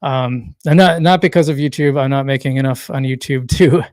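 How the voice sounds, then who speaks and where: A man chats loosely on a solo livestream, his voice at a middling pitch and starting to wear out. He rambles, restarts sentences and reads viewer comments aloud. The sound is dry and close, with little room echo. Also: moderate pace, darkish tone. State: losing his voice. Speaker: livestream host. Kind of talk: casual solo livestream chat.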